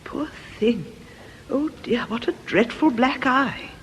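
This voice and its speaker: An actress playing a kindly, concerned nun in a radio drama, polite and gently fussing. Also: female voice, a little high, slow, slight background noise. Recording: reverberant church-like acoustic.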